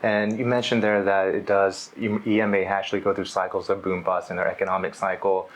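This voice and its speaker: A man's voice: sits low, little pitch movement, fairly quick. A man hosting an interview as an investment specialist, polite and supportive, with many listening murmurs.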